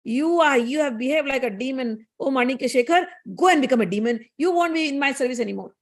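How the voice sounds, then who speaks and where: A woman's voice: sits high, fast talker. A woman with an Indian accent voicing a stern, righteous goddess who scolds and commands in a spoken myth. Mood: stern condemnation.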